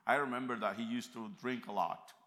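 A man from America, preaching a sermon in a church, emphatic and swinging between quiet reflection and loud fervent peaks. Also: mid-range voice, steady tone, fairly quick. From Central America. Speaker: visiting preacher.

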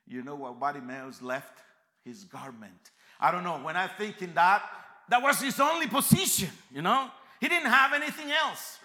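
A man from America, preaching a sermon in a church, emphatic and swinging between quiet reflection and loud fervent peaks. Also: high voice, animated, moderate pace. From Central America. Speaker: visiting preacher.

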